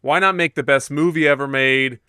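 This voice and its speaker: Bright, clear-voiced man, casual podcast host. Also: mid-range voice, fairly even intonation, fairly quick, no room sound, clean studio sound. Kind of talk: casual chat.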